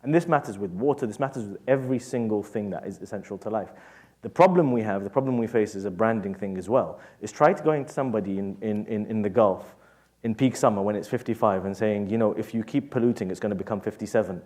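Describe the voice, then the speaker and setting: Fast-talking urgent male panelist. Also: fairly low pitch, mellow. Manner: urgent, persuasive.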